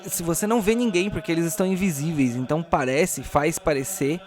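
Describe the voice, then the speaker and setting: A man's voice: on the high side, a little fast. A man with a Brazilian accent narrating a tabletop role-playing game as game master.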